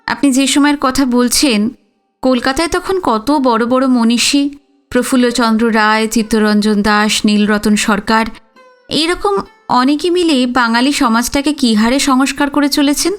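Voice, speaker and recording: Woman acting young daughter-in-law, high voice, polite but pointed, articulate, audio story w soft music behind. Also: measured pace. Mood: challenging. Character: outspoken, educated young daughter-in-law, respectful but probing.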